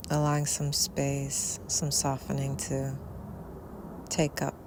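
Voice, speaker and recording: A woman leads a guided meditation in a low, gravelly voice, very slowly and softly. Long pauses separate her phrases, which often fade into breathiness. She is recorded outdoors, with faint natural ambience behind her. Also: completely flat delivery, background noise. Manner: soft, unhurried, with long pauses. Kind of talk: guided Yoga Nidra meditation.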